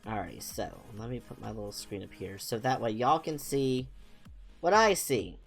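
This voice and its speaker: A man's voice: mid-range voice, expressive, normal speed, creaky, soft background music. A young man chatting casually as a livestream host, rambling informally with a self-deprecating manner. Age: young adult. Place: small home room, indoors.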